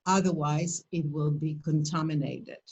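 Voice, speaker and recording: A woman's voice: low-pitched, steady tone, unhurried, darkish tone, breathy edge, dull audio. An older woman from Poland with a Polish accent, presenting and answering questions in a webinar over a video call, speaking in an emphatic, motivational way.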